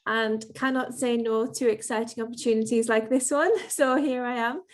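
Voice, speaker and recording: A young woman with an English accent, opening an online event as host with measured, prepared remarks and occasional ums. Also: on the high side, steady tone. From England.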